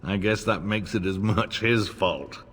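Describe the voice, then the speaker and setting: An actor playing a smug, middle-aged nobleman and war veteran in a video game, formal and sardonic, with a husky voice. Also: lowish voice, unhurried, fairly bright tone. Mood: smooth, condescending. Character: older nobleman, old war comrade, smug, wry, insincerely courteous. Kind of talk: video game cutscene acting. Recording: long reverb, music underneath.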